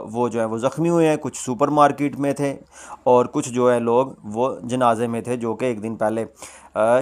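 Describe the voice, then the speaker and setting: A man presents news commentary in a medium-pitched voice. He explains things in a semi-casual, conversational way, leaning on repeated filler phrases as he goes.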